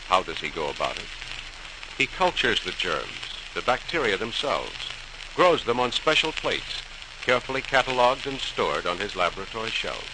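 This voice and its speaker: Solemn radio-drama narrator, 1940s style, lowish and very melodic, noisy old transcription. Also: male voice. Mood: grave. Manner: theatrical, with emphatic repetitions and suspenseful pauses. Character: solemn, suspenseful male storyteller of scientific discovery.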